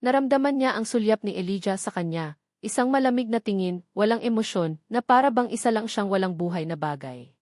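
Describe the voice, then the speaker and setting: A woman's voice: medium pitch, lively intonation, fairly quick, a little mellow, no room sound. Woman narrating drama, dry studio sound. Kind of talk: scripted dramatic audiobook narration of a love story.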